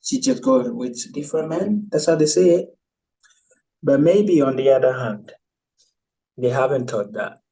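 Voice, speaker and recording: A man from Ghana, a guest in a casual chat, telling stories in a hesitant, relaxed way with many pauses and ums. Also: medium pitch, far from the mic, rough recording.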